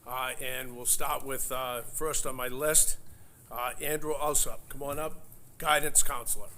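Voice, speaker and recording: A man introducing new staff at a school meeting in a halting way, full of fillers. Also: mid-range voice, fairly even intonation, pushed and bright, slight background noise.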